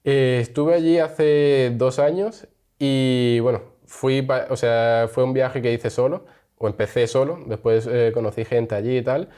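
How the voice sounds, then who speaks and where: A man's voice: mid-range voice, steady tone, unhurried, mellow, smooth. A young man from Spain, chatting casually as an interview guest and laughing often. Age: young adult.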